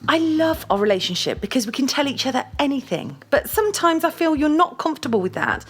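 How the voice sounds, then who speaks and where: A woman's voice: high-pitched, big pitch swings, fast talker. An actress playing a blunt, domineering, self-assured girlfriend who scolds and swears in an audio drama. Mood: reproachful. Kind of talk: dramatic audio play dialogue.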